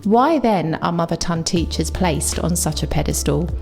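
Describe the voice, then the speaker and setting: A woman with a London accent, an English teacher delivering a scripted, reflective video essay with clear opinions. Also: on the low side, expressive, moderate pace, darkish tone, soft background music. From England.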